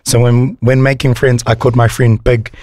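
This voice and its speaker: A man's voice: medium pitch, flat delivery, moderate pace, a little mellow. A man from the USA co-hosting a breakfast radio show, reading out listener texts in an amused, easygoing way. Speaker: radio co-host.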